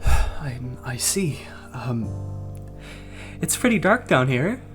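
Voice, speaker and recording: An actor playing a fussy, hesitant male client in an audio drama, speaking haltingly. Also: mid-range voice, sing-song, music in the background. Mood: uneasy.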